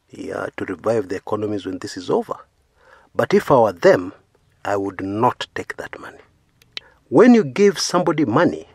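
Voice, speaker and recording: Male commentary host from Kenya, second-language English, earnest and emphatic, animated intonation, slightly husky. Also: medium pitch, no room sound, studio quality. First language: not English. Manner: earnest, emphatic, frequent "yeah" tags, rising stresses on key words. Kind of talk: opinion commentary monologue.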